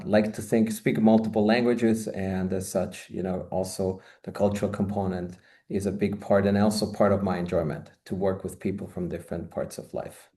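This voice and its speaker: Mellow-voiced Austrian-born man on a video call. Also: low-pitched, gravelly. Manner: expansive, rambling with many "you know" asides. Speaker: guest, marketing consultant. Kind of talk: conversational video-call interview. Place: home office. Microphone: video call, webcam.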